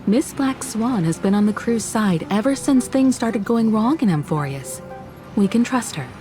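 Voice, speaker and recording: A woman playing a gentle, caring crew leader in a video game, sincere and reassuring. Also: on the high side, animated, moderate pace, music in the background, lightly acted. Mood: earnest. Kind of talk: video game character voice acting.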